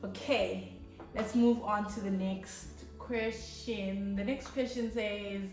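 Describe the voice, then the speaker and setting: A woman's voice: mid-range voice, steady tone, echoey room, soft background music. A South African woman in her thirties, a native Swati speaker, chatting casually and cheerfully as she introduces herself to her channel. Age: 30s. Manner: cheerful, unhurried, laughs often. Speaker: YouTuber. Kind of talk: casual get-to-know-me talk.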